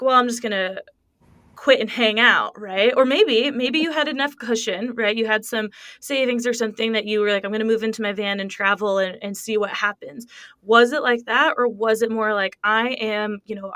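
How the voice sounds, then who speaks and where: A woman's voice: a little high, steady tone, normal speed, sharp tone, no room sound. Chatty woman host, dry close sound. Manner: casual, rambling, heavy use of "like". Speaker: podcast host. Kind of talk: casual interview chat.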